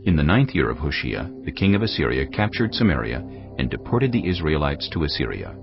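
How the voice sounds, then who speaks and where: Very deep-voiced man narrating scripture. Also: very animated. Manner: measured, grave storytelling. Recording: dull lo-fi sound over cinematic music. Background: cinematic music.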